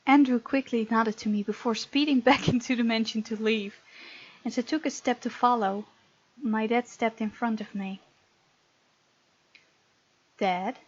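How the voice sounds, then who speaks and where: A woman's voice: fairly high pitch, fairly even intonation, a little slow, pauses often, no room sound, dull audio. A woman hosting a gaming video, reading game text aloud and breaking off to comment playfully.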